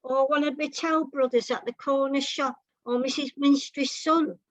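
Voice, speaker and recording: A woman's voice: sits high, steady tone, moderate pace, no room sound, close to an everyday voice. A woman voicing a nosy, helpful, matter-of-fact man in a comic short story. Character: housewife's companion Jack, a blunt, nosy, helpful man.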